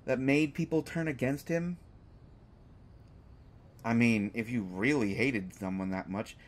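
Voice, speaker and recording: A man's voice: medium pitch, lightly acted. A man playing a sarcastic, unafraid hostage who teases a villain in an audio drama, with exaggerated mock reactions. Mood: mocking disbelief. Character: sarcastic, unafraid male hostage taunting a lovesick supervillain. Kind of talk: scripted roleplay audio drama monologue.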